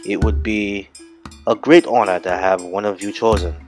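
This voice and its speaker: A calm, proud father with a low, steady voice, firm but fatherly, as a storyteller plays him. He gives plain instructions without fuss. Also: normal speed, faint music behind, lightly acted.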